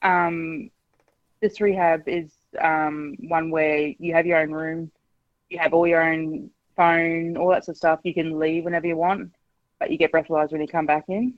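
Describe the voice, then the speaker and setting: A woman's voice: low voice, level tone, moderate pace, no echo, lo-fi sound. A woman, calling a radio show and speaking frankly, with many hesitations. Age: 40s. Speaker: caller. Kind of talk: candid phone-in chat.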